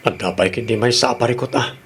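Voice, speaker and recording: Insecure Filipino husband, noisy recording. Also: male voice, medium pitch, a bit bright. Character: insecure husband Kevin, affectionate but brooding about money. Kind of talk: Ilocano radio drama acting.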